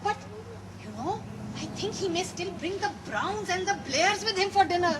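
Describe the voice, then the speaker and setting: A woman's voice: extremely high pitch, animated, normal speed. A woman performing a comedic dramatic reading, theatrical and gushing. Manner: theatrical, gushing, sing-song delivery. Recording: noisy live room recording.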